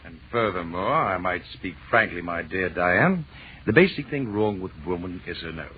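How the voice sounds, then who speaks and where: A deep-voiced, mock-pompous professor husband. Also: male voice, lively intonation, moderate pace. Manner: mock-pompous, florid phrasing. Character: romantic, wry astronomy professor and husband, bantering, pedantic. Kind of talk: 1940s radio drama acting. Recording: muffled, noisy old radio recording.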